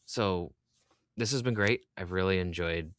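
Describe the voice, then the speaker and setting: A man's voice: sits low, measured pace, fairly bright tone, smooth, no echo, studio quality. A man hosting a podcast interview in a casual, conversational style.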